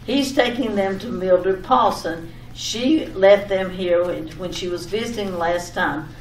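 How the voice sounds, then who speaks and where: A woman's voice: mid-range voice, unhurried, natural voice. A woman playing a fussy, scatterbrained wife in an old-time radio comedy sketch, giving repetitive, over-detailed instructions. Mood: fussy, chatty. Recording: echoey room, distant mic, faint noise.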